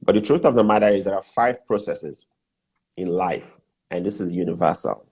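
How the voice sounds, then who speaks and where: Man, Nigerian accent, middle-aged, low voice, emphatic, repeats points, casual interview. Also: normal speed, a little mellow, husky. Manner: emphatic, lists steps and repeats key points. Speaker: guest business mentor.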